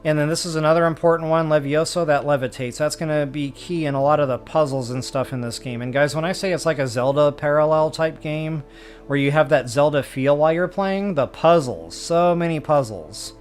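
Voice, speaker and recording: Casting: male game streamer, fairly high voice, chatty and informal, music behind. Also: a little fast. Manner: chatty, addresses viewers informally. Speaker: gaming streamer. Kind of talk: casual video game walkthrough commentary.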